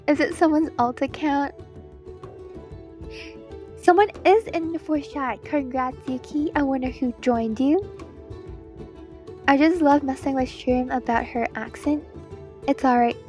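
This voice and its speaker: A woman streamer with a very high voice and a mostly American accent. She talks slowly and casually, with a playful, teasing manner. Faint music plays behind her. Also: a little mellow. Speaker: streamer, digital artist. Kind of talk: casual livestream chat while drawing.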